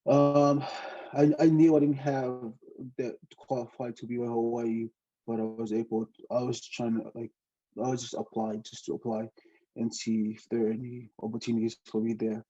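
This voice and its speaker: Young man from Tonga, Tongan first language, hesitant and laughing, very dark mellow tone, muffled lo-fi sound. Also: medium pitch, little pitch movement, moderate pace. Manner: hesitant, many fillers, laughs often. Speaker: podcast guest, student pilot. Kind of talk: casual interview storytelling.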